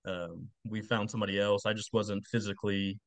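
A man in his late twenties gives casual, plainspoken answers as an interview guest. He talks quickly in a slightly low voice. The sound is close and dry, with an informal conversational feel. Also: little pitch movement, dry recording. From Texas, United States. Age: late 20s. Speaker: podcast guest, football coach.

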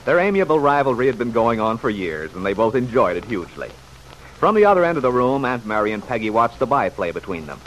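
A man's voice: mid-range voice, very animated, fast talker, fairly bright tone, low-quality recording. A man giving scripted story narration in the style of an old-time radio drama. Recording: vintage radio recording with background noise.

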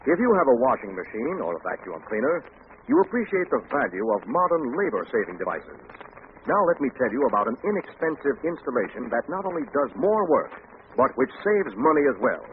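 A man's voice: mid-range voice, big pitch swings, a little fast. A man announcing a scripted commercial in 1940s radio style. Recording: tinny, faintly noisy old radio broadcast.

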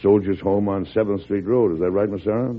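A man acting a weary but resolute wartime president, very low and husky. He talks in a deliberate, folksy way, hesitating over words. It is old radio drama through a tinny, muffled recording. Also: fairly even intonation, natural voice. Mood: grave, composed.

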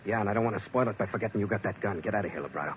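A man's voice: lowish voice, rapid-fire, close to an everyday voice. An actor playing a tough male sea captain who narrates his own story in a blunt, clipped way. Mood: defiant. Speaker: narrator and lead. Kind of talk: 1940s radio acting. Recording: dull old radio broadcast, loud hiss.

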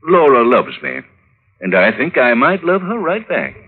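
An actor playing a meek, flattering married lawyer with courtly, deferential manners in an old-time radio drama. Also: male voice, mid-range voice, unhurried, a little hiss or hum. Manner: courtly, deferential, clipped replies. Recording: muffled, phone-like vintage radio recording.

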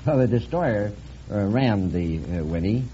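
A man's voice: lowish voice, animated, a little slow. A middle-aged man from Canada giving plain, matter-of-fact answers as a contestant on an old radio quiz show. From Vancouver, Canada. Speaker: contestant, aquarium owner. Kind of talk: plain conversational interview answers. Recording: muffled, faintly noisy vintage radio broadcast.

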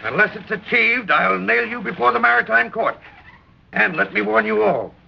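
An actor in an old radio drama playing a huge, pompous, tyrannical ship's captain who gives commands with menace. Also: male voice, sits high, animated, moderate pace, gravelly, full character voice. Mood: stern, threatening. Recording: noisy, muffled old radio recording.

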